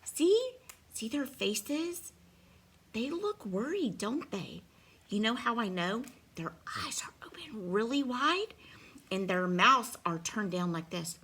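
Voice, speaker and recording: A woman reading a picture book aloud to young children, expressive, with dramatic pauses and chatty questions to the listeners. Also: fairly high pitch, husky.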